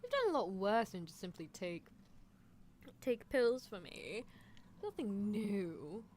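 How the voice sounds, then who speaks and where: A woman's voice: mid-range voice, sing-song, slow pace, darkish tone, slight background noise. A woman playing a playful, bossy British female scientist in an ASMR roleplay, teasing and coaxing with clinical asides. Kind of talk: ASMR roleplay livestream in character.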